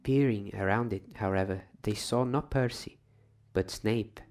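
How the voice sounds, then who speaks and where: Slow, creaky-voiced man reading a bedtime story. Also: fairly low pitch. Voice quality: soft-spoken. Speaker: ASMR storyteller and reader.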